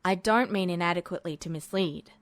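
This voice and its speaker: A woman voicing a low, terse male officer. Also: fairly quick, dry recording, lightly acted. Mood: low, urgent. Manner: low, clipped, urgent. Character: terse, guarded male officer, quiet and commanding.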